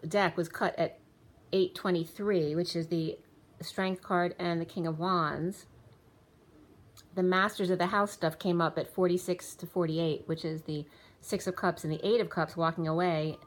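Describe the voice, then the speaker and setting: A woman with a slightly low voice talks through a tarot spread in a loose, rambling way, full of restarts. Her voice is wearing thin and a little hoarse. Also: steady tone, moderate pace. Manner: rambling, many restarts and fillers, reads off card names and times. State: losing her voice. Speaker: tarot reader. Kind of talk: casual spoken tarot reading. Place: indoors, room with a table of spread cards.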